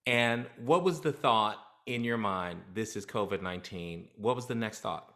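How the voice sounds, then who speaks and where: A man hosting an interview, asking eager, animated questions into a studio mic. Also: fairly low pitch, moderate pace. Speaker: host. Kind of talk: casual interview. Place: home studio room indoors. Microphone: large studio condenser mic on desk.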